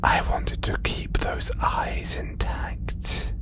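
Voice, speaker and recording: A man acting a slow, whispering, condescending alien collector. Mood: possessive, intimate. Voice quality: breathy, half-whispered. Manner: condescending, deliberate with long pauses. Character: condescending, possessive alien trophy hunter and collector, male. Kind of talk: slow audio roleplay monologue to listener.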